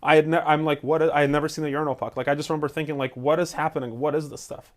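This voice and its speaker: A man in his forties, from Ukraine with Russian as his first language, telling his story as a guest in a casual interview and acting out quoted lines. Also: a little high. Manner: fast, animated, acts out quotes. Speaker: guest, entrepreneur.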